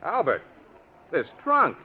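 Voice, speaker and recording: A brisk, incredulous man in a vintage radio play. His high voice jumps around excitably, heard through a tinny recording with steady noise. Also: heavily acted. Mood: brisk, startled. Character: brisk, baffled, disbelieving acquaintance of the murderer. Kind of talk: 1940s radio drama acting.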